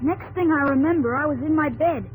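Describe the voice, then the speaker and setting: A man's voice: very high voice, brisk, over music, low-quality recording. An actor voicing an earnest young pioneer boy who narrates a 1950s radio drama. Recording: old radio broadcast with loud hiss.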